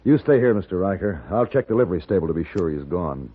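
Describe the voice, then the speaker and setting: An actor playing a cool, commanding, wry American gentleman gunfighter in a 1950s Western radio drama. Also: deep voice, animated, quick pace, husky. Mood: amused, assured. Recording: phone-like old radio recording, music.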